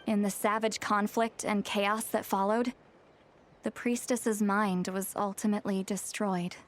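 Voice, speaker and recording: An actress playing a calm, scholarly young woman in a video game, explaining in a measured, articulate way. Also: mid-range voice, lightly acted. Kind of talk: video game dialogue, expository.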